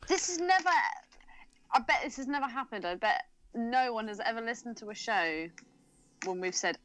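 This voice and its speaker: A woman's voice: a little high, expressive, normal speed, a bit bright, poor audio. A woman co-hosting a podcast in casual chat, with a blunt, irreverent, teasing manner. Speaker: podcast co-host.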